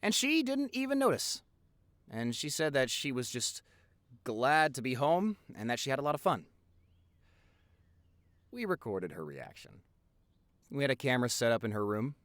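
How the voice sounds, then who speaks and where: Animated, teasing young boyfriend, acted by a man. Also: medium pitch, normal speed, pauses often, no echo. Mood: amused, animated storytelling. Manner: teasing, animated, chatty, expressive. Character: playful, rambling, affectionate popular young boyfriend. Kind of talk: boyfriend roleplay audio, intimate rambling monologue.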